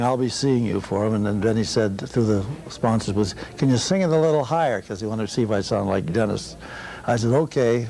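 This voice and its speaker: An older man with a mellow, dark tone reminisces about his career as a singer. He tells it as a personal anecdote, in a mid-range voice that is a little on the low side. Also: rough recording. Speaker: veteran radio singer telling his story.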